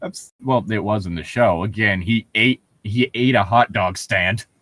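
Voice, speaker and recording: A man's voice: on the low side, measured pace, a bit bright, dry recording. A man co-hosting a comedic read-aloud of fan fiction, casually riffing with sarcastic jokes and frequent laughter. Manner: jokey, sarcastic, laughs often.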